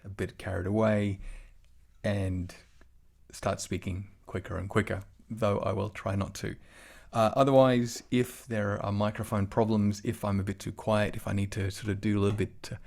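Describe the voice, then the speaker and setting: A man's voice: lowish voice, creaky, darkish tone. An Australian man giving an online tech talk over a video call, chatty, with self-deprecating asides. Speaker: conference speaker.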